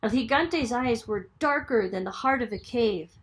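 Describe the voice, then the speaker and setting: High-pitched, animated woman reading a storybook. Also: normal speed. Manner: dramatic, expressive storytelling. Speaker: storybook reader, school superintendent. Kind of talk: children's bilingual story read-aloud.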